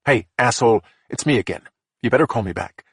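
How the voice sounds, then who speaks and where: A man's voice: medium pitch, animated, a little fast, breathy edge, no room sound, studio quality. A man voicing a stressed middle-aged man who hisses threats and panics on the phone, in a profane, snarling way. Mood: threatening. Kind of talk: audiobook character voice.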